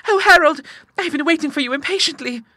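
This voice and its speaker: Eager young woman, high falsetto, breathless, bright, a man putting it on. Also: fast, dry recording, studio quality, exaggerated voice.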